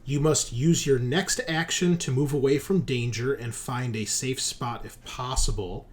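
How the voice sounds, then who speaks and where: A man's voice: medium pitch, measured pace. A man running a tabletop roleplaying game as game master, explaining rules and procedure step by step. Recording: clearly noisy background.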